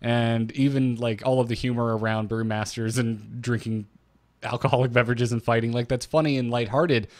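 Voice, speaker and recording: A man's voice: medium pitch, steady tone, moderate pace, a little mellow, dry recording. Man co-hosting a casual, close-miked podcast. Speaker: podcast co-host. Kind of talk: conversational recap and fan discussion of game lore.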